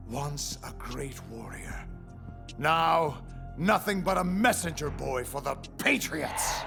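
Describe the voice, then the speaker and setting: A condescending villain, leader of rebels in a video game. He taunts theatrically, slow and gravelly, with wide swings in pitch. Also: animated, music in the background, heavily acted. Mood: smug, taunting.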